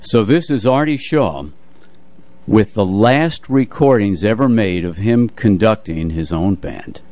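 A man in his eighties reminiscing casually as a radio music show host, telling stories in a measured way and repeating phrases. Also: fairly low pitch, a little mellow. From United States, New Jersey area. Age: 80s. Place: radio studio.

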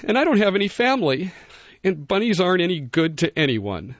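A man voicing a small, lonely young male bunny who talks in a high, pleading, sobbing way. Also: very animated, fairly quick, dry recording, dull audio. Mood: tearful.

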